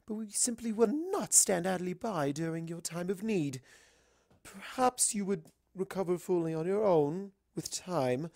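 A genteel female unicorn from a storybook, voiced by a narrator, speaks in a raised pitch. She is bossy yet caring and phrases everything formally, in a dry recording. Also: darkish tone, no echo, natural voice.

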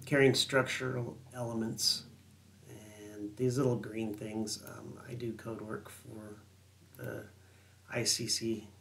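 A man's voice: fairly low pitch, gravelly, fairly bright tone, a little hiss or hum. A middle-aged man reading and explaining building code sections during a screen-share walkthrough, with lots of ums and restarts. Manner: rambling, filler-heavy reading of code text. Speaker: building design consultant.

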